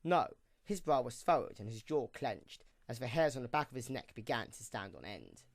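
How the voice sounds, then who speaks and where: A male narrator reads a scripted story. His voice is mid-pitched and the recording is close and dry. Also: sing-song, moderate pace, dry recording.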